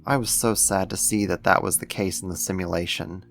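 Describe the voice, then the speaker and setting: A man playing a gentle, doting alien-like being in a soft-spoken ASMR roleplay, coaxing and soothing as if to a pet, tender and a little condescending. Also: deep voice, steady tone, a little fast, vocal fry, slight background noise.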